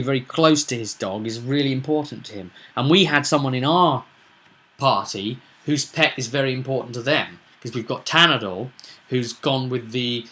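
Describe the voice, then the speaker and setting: A man's voice: medium pitch, fairly bright tone. A man hosting a tabletop roleplay vlog, explaining the game in a casual, rambling, conversational way with frequent asides to the listener.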